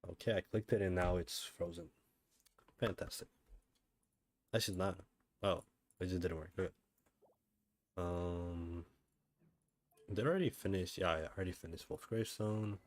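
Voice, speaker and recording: A man with a low voice streams a video game. He chats casually, half to himself, in an offhand way. He often repeats his own phrases as he goes. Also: moderate pace, long pauses. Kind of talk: casual gameplay commentary.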